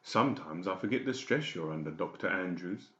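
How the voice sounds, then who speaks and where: A man's voice: low voice, animated, a little fast, sharp tone, roomy sound, lo-fi sound. A man playing a stern, commanding senior doctor in an audiobook drama. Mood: conciliatory. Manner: stern, authoritative.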